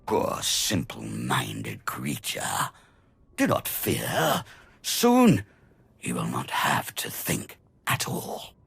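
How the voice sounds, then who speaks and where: An immortal video game villain, with an extremely deep, gravelly voice. He is arrogant and coldly amused, drawing out his condescending words slowly. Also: huge pitch swings, a bit bright, dry recording, exaggerated voice. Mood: menacing scorn. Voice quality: gravelly, husky. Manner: theatrical, condescending, drawn-out. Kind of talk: dramatic video game acting.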